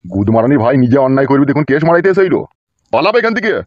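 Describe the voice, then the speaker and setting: A man's voice: medium pitch, very animated, rapid-fire, darkish tone, no echo, muffled recording. A man voicing a foul-mouthed, bullying police officer in a comedy cartoon, scolding and domineering. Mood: scolding, abusive. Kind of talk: Bengali comedy cartoon dubbing.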